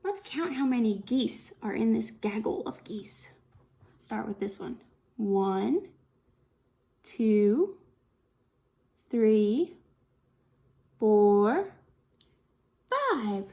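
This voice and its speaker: A woman reading a picture book aloud to young children as a storytime teacher, in an expressive sing-song manner with lots of questions. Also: on the high side. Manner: sing-song, slow and deliberate, with exaggerated expression and frequent questions.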